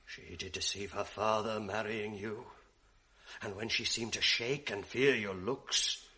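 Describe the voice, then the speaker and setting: An actor playing a scheming, manipulative false friend in a classical verse radio drama, confiding in a hushed, insinuating voice. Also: male voice, on the low side, fairly even intonation, unhurried. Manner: hushed, confiding, insinuating, often near a whisper.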